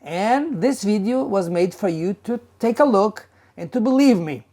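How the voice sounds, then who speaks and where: A woman teacher giving an instructional talk in a measured, emphatic, didactic manner. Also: mid-range voice, expressive, warm tone. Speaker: English pronunciation teacher.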